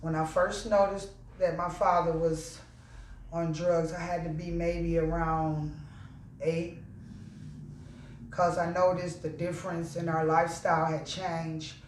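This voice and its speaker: A woman calmly recounting childhood memories in a steady, measured testimony. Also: on the low side, slight background noise. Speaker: interviewee. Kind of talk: personal testimony. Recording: echoey room, mic at a distance.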